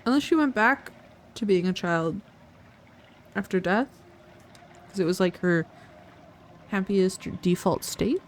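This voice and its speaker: A woman's voice: medium pitch, expressive, slow, pauses often, a little hiss or hum. A woman streaming a video game, reacting aloud in casual, exclamatory commentary. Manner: exclamatory, talking back at the game. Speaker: live streamer.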